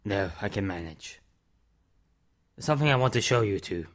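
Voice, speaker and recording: A man playing an older reclusive character in an amateur audio drama, calm, firm and fatherly, speaking in terse, measured phrases. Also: on the low side, lightly acted. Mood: quiet, confiding.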